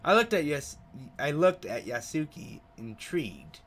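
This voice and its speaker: Male gaming host, bright forceful voice, reading story text aloud, tripping on words and repeating them, chatting casually between lines. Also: on the high side, very animated, measured pace. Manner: stumbles over words, repeats and retries pronunciations. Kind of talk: let's play read-aloud of a visual novel with casual asides.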